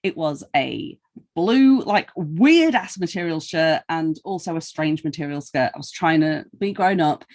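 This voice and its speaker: A woman's voice: low voice, expressive, unhurried, a little mellow. A British woman bantering casually as a podcast interviewer, in an irreverent, sweary way.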